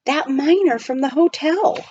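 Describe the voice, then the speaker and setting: A woman's voice: high-pitched, unhurried, darkish tone, no room sound, dull audio, voice pushed up. A woman voicing an earnest, anxious young boy in a storybook, plain-spoken and fretful.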